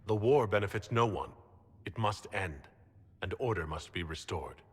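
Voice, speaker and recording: Casting: young noble mage leader for game, husky, sincere, measured, reverberant hall sound. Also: male voice, lowish voice, expressive. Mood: measured, firm. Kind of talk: video game voice acting.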